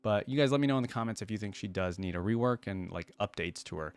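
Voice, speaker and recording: A man's voice: fairly low pitch, fast talker, clean tone, no echo. A man giving casual, unscripted commentary as a reaction video host, talking in a rambling, conversational way.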